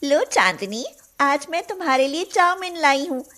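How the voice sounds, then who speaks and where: A woman's voice: high-pitched, big pitch swings, normal speed, fairly bright tone, pitched above the performer's own voice. A woman voicing a jealous, scheming young female parlour worker in a storybook tale. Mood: falsely sweet. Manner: sly, sugary when scheming. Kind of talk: storybook voice acting.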